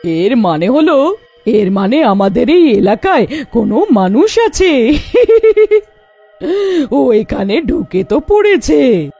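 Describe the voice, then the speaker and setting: A woman's voice: high-pitched, big pitch swings, warm tone, breathy edge, faint music behind, full character voice. A woman voicing a greedy, gloating man-eating witch in a horror cartoon, menacing and fond of cackling. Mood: menacing, hungry. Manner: gloating, menacing, drawn-out phrasing.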